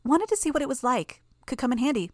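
A woman's voice: high-pitched, big pitch swings, quick pace, a little mellow, dry recording, clean studio sound, pitched above the performer's own voice. A woman doing a brash, gleeful teen girl. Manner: brash, crude, pleased with herself. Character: cocky, gleeful teenage girl villain.

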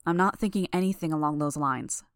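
A woman's voice: low voice, fairly quick, no room sound, clean studio sound. A woman voicing a stubborn, earnest teenage girl in an audiobook, insistent and argumentative. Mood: firm, insistent. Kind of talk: dramatised audiobook dialogue.

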